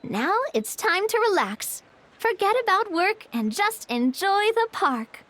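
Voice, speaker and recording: A woman playing a sweet, encouraging, upbeat young lady in a video game cutscene, cheery and expressive. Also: very high voice, unhurried, exaggerated voice. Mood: warm, upbeat.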